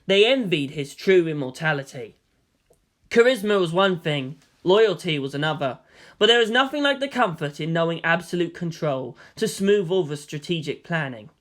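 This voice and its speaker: A male storyteller reads dramatic fiction in a scripted narration style. His phrasing is expressive and deliberate, and his voice is fairly high for a man.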